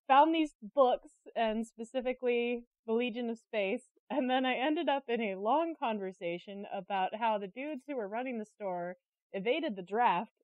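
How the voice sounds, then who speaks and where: A woman's voice: a little high, dry recording, dull audio. A woman being interviewed, telling stories in a casual, chatty and giggly conversational way. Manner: giggly, chatty, animated storyteller. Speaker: interview guest, creative director and artist.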